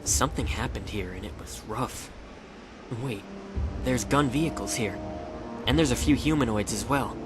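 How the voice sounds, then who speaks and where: A man's voice: mid-range voice, moderate pace, over loud music, lightly acted. A man playing a heroic hedgehog in an audio drama, casual, alert and clipped. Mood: alert, guarded. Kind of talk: fan audio drama acting. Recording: clearly noisy background ambience.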